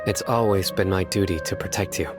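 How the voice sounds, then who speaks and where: A man voicing a stoic, loyal guardian warrior in a video game, plain and steady in manner. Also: deep voice, fairly quick, music in the background, close to an everyday voice. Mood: steadfast.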